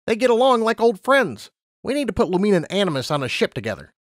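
A man voicing a brisk, enthusiastic male pony scientist in an acted audiobook, emphatic and matter-of-fact. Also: high-pitched, sing-song, dry recording, clean studio sound, pitched above the performer's own voice. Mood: impressed.

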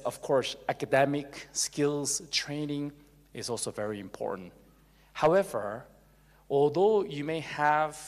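A man from South Korea with a Korean accent giving a motivational lecture to a live audience, pushing his voice up for emphatic calls to the crowd, with many fillers. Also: mid-range voice. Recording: long reverb of a large hall.

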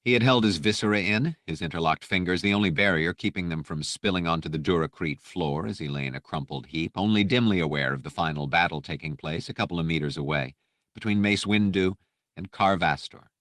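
Man, low-pitched, dry close studio sound, measured delivery, dramatic audiobook narration. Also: fairly bright tone, dry recording.

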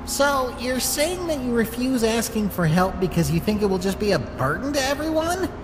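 Sardonic talking flower voiced by a woman, swooping pitch. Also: big pitch swings, normal speed, in a large hall, over music. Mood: exasperated. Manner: sarcastic, exasperated. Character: irritable, scornful talking flower, sharp but secretly worried. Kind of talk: dramatic comic dub voice acting.

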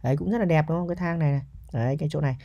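Fast-talking man presenting a property. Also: on the high side, vocal fry, mellow, dull audio. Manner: chatty, often tags statements with a checking question. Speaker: real estate agent presenting a property. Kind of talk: casual property walkthrough commentary.

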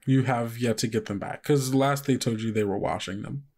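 A man runs a tabletop role-playing game. His voice sits at a middling pitch. He describes scenes off the cuff, conversationally, often pausing to find the next detail. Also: a little mellow. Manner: conversational, halting descriptions with frequent hedging "like". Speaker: game master of an actual play podcast. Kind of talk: improvised tabletop game narration.

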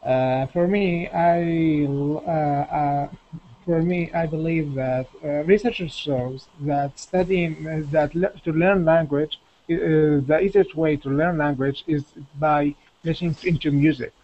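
Halting male student on a video call. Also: a little high, fairly even intonation, mellow. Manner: halting, searching for words. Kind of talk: self-introduction in class. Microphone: webcam video call. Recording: muffled video-call audio.

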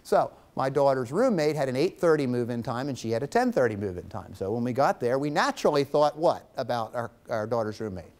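A man in his fifties gives a guest lecture in a college classroom, his voice at an ordinary middle pitch. He talks in an animated way, gesturing and teasing the students while he tells stories. The sound comes through a lapel mic. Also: brisk, few pauses.

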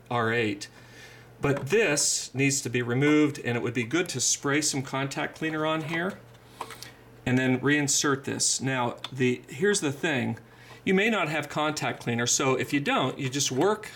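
A man giving an informal, methodical hands-on repair tutorial, speaking haltingly and repeating phrases as he goes. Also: mid-range voice, a bit bright, slight background noise.

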